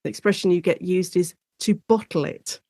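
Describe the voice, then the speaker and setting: A British woman speaking as an expert guest in a conversational interview, explaining history in an informal, animated storytelling way. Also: fairly low pitch, moderate pace, dry recording, studio quality. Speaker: guest expert, lecturer and author on Shakespeare in radio.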